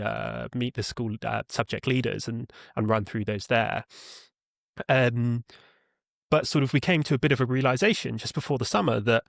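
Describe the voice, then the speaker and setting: A man talking as a podcast guest, explaining his work conversationally and haltingly, often repeating words and correcting himself. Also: mid-range voice, no room sound, clean studio sound. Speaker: podcast guest, customer support leader.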